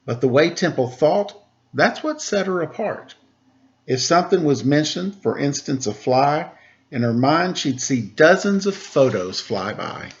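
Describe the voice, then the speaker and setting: Gravelly guy reading a rhyming kids book out loud, chatty asides, kinda dull lo-fi audio. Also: on the high side, unhurried. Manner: lilting and expressive in rhyme, relaxed and chatty in asides. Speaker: storyteller reading a picture book.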